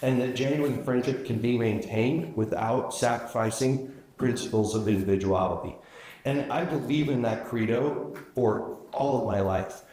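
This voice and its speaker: A male candidate answering at a local debate. His voice sits at a middle pitch and carries an easy, chatty manner with frequent fillers. The room adds noticeable echo. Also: a little mellow. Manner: conversational with fillers, quick when rushed. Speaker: county commission candidate.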